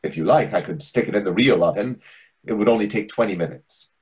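A man in his thirties playing a fussy, condescending waiter with a deliberate, pompous manner in a comedy reading over a video call. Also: on the low side, animated, breathy edge, rough recording. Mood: deadpan. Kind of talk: readers theatre comedy acting. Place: indoor room on a video call. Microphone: video call microphone.

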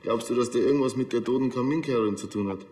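Man as a quick, nosy questioner, very echoey. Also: mid-range voice, a little mellow, natural voice. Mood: curious. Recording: echoey, noisy hall with clicks.

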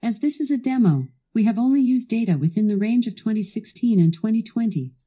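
Very bright synthetic-style female assistant voice. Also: fairly high pitch, lively intonation, a little fast. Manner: clearly enunciated, instructional, polished. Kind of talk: scripted narration for a product demo.

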